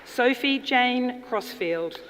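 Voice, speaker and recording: A woman formally announcing graduates' names at a ceremony, in a measured, deliberate delivery. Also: on the high side, animated. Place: large indoor ceremony hall. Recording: reverberant hall, audience noise, clear background noise. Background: audience crowd noise.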